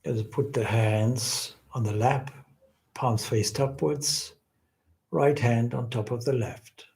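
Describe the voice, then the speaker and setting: A man guides a meditation in a mid-pitched voice. He speaks very slowly and deliberately, leaving long pauses between phrases. His delivery is gentle and reverent, like that of a spiritual teacher. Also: steady tone, vocal fry. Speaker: swami leading a guided meditation.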